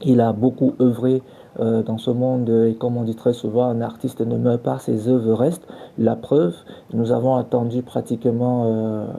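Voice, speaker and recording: A man hosting a culture podcast, speaking in a halting, semi-scripted radio style with searching pauses. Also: mid-range voice, gravelly, dark tone. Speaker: podcast host, culture journalist.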